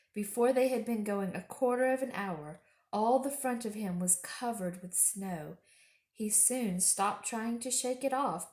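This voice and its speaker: A woman reading a children's story aloud as storyteller, in a measured, expressive way. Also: mid-range voice. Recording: roomy home echo.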